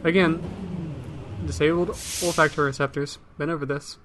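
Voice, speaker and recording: Male actor as blunt magic user, slightly high voice, dry, pedantic, matter-of-fact, comedic audio drama, faint noise. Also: fairly even intonation, moderate pace, natural voice. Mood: deadpan. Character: Mike, blunt unbothered magic user guiding his reluctant friend.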